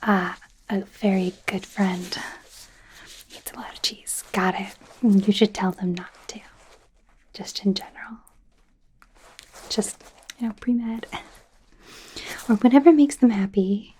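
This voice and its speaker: A woman playing a nervous, awkward werewolf doctor in an ASMR roleplay, whispering softly in a hesitant, rambling, self-deprecating way. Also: medium pitch, steady tone, takes it slowly, long pauses, a little hiss or hum, natural voice, low-quality recording. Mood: hesitant, self-doubting. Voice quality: soft breathy whisper.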